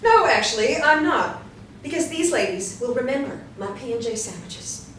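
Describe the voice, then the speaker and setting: A woman's voice: sits high, big pitch swings, normal speed, a bit bright. A woman playing a vain, hot-tempered rich hostess, emphatic and indignant, in a stage play reading. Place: small indoor room, seated with scripts. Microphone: distant camera. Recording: echoey room, distant mic, faint noise.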